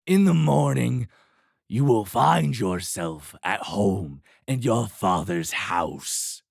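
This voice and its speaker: A man voicing a grieving, lovesick beast in a storybook, pleading dramatically in a gravelly, husky voice. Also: lowish voice, animated, no echo, studio quality, exaggerated voice. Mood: solemn, sorrowful. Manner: slow, swelling, dramatic pleading. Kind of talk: audio storybook character acting.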